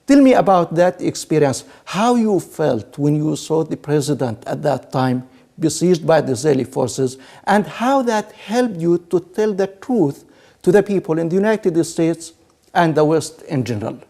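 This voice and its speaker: Mellow male broadcast interviewer. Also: a little high, lively intonation, a little slow, creaky. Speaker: TV programme host and interviewer.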